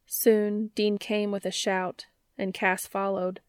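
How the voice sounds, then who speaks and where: A woman's voice: mid-range voice, level tone, slow pace, clear, resonant tone, dry recording, clean studio sound. A woman narrating scripted fiction as an audiobook reader.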